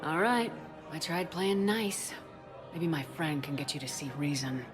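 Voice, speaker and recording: A woman's voice: deep voice, lively intonation, measured pace. A woman playing a tough, composed military commander in a video game, direct and controlled. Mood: stern. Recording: music behind the voice.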